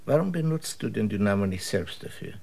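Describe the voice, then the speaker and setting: A man's voice: on the low side, animated, brisk, vocal fry, darkish tone. An actor in a German radio drama playing a stern, distrustful father who speaks in a curt, disapproving way.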